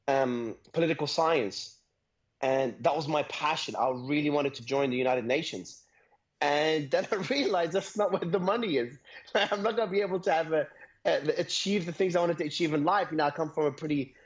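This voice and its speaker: Male guest from Australia, a bit high-pitched, quick chatty delivery, dull remote sound. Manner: fast, repeats words, laughs between phrases. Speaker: guest, entrepreneur. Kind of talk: conversational interview.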